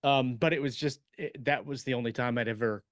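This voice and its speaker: A man co-hosting a podcast, chatting casually and haltingly as he thinks aloud about writing. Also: mid-range voice, no room sound, clean studio sound. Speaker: podcast co-host, science fiction author.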